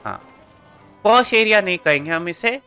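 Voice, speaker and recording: A man's voice: high-pitched, lively intonation, very fast, pushed and bright, smooth. A man with a North Indian accent giving casual, rambling live game commentary that switches between Hindi and English. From India, Delhi. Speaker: game livestreamer. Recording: game music behind.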